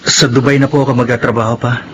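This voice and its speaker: A man's voice: mid-range voice, little pitch movement, fast talker, close to an everyday voice, poor audio. An actor playing a respectful, reluctant son in his twenties in a radio drama, with a Filipino accent. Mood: earnest, reassuring. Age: 20s. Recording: faint background noise.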